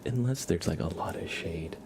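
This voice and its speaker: A man hosting a casual ASMR livestream, chatting to viewers in a soft, breathy, whispery voice with an unhurried, rambling manner. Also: low voice, animated, background noise. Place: room at home with a window.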